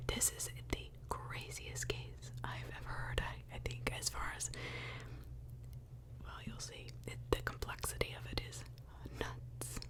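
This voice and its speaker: Slow whispering woman. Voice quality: unvoiced breathy whisper. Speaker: ASMR true crime video host. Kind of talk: whispered ASMR true crime storytelling. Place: small closet in a house.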